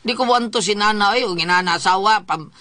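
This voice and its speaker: A woman's voice: mid-range voice, fairly quick, pushed and bright, no echo. A woman with a Visayan Filipino accent, hosting a talk show with casual conversational commentary.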